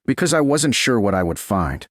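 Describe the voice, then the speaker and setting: A man voicing a calm, resolute and gently wry servant woman in an audiobook romance, speaking in a measured, reasonable way. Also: on the low side, dry recording, clean studio sound. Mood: soft, candid.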